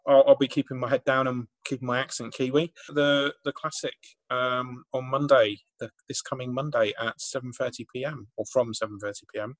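A man's voice: medium pitch, steady tone, a little fast, rough recording. Blunt, emphatic male podcast co-host. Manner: blunt and emphatic, with dry asides. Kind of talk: casual political chat.

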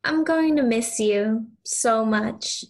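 A kind young girl, acted by a woman, talks in a high voice at a slow pace. She sounds sincere and childlike, a little hesitant, in the style of an audio drama. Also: a little mellow. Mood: tender sadness. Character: kind, earnest young girl befriending a mayfly. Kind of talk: audio play acting.

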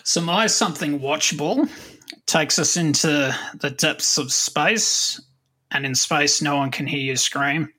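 A man in his late thirties with a bright, forward voice hosts a casual podcast. He talks in a conversational way, often stopping and restarting as he looks for the right word. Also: a little high, a little slow, slightly rough, poor audio. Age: late 30s. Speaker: podcast host. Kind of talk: casual conversation about films and comics.

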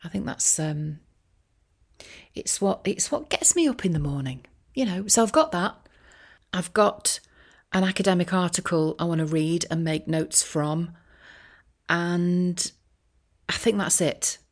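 Low-pitched woman, chatty and wry. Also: a little slow, long pauses. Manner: rambling, self-deprecating asides. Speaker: voiceover artist and career coach sharing a personal update. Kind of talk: casual, chatty monologue.

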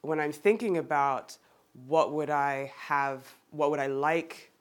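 A man with a slightly higher voice, talking through a thought in a group discussion. He keeps circling back and correcting himself as he searches for his words. He is heard close and clear. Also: steady tone, slow. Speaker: discussion participant.

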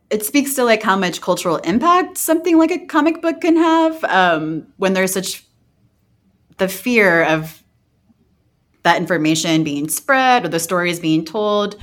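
A woman hosting a casual podcast, talking in an informal, halting way. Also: medium pitch, very animated. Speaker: podcast host.

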